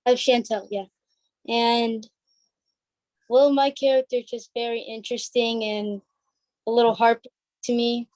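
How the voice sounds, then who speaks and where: A woman's voice: fairly high pitch, level tone, measured pace, long pauses, a little mellow, no room sound. A young woman in her teens chatting informally as an interview guest, a bit hesitant. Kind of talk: casual chat.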